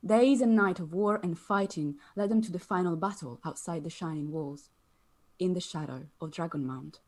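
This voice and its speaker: A woman playing a calm, solemn sorceress who tells of old lore at a table read. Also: fairly low pitch, normal speed, lightly acted.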